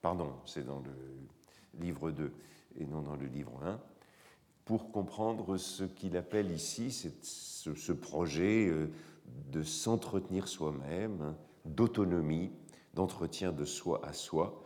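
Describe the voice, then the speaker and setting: A man with a cold lecturing in a hall, his voice hoarse, his speech halting with frequent pauses and fillers. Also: a bass voice, a little mellow. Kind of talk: academic lecture.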